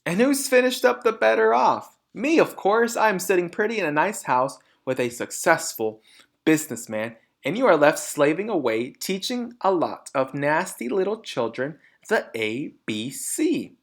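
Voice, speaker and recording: A man puts on a smug, vain storybook mother who sneers at everyone. The voice is raised in pitch and swings about widely, with brash, emphatic phrasing. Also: sing-song, normal speed, vocal fry, heavily acted. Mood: gloating.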